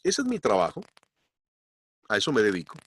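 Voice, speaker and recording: A man's voice: lowish voice, wildly swooping pitch, fairly bright tone, slightly rough. Emphatic male pastor preaching. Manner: emphatic, slows down to stress points. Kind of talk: sermon. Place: church.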